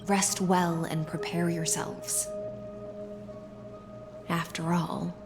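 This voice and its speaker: An actress playing a serene, gracious woman of the sea in a fantasy game, with gentle, formal phrasing. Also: fairly low pitch, little pitch movement. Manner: unhurried, formal, gentle delivery. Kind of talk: scripted game voice acting. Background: game music.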